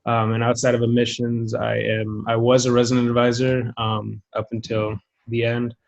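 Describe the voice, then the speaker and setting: A young man from Maryland and Haiti, introducing himself in a measured way with many "um"s as a student panelist on a video call. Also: medium pitch, completely flat delivery, gravelly, lo-fi sound. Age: young adult. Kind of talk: casual self-introduction.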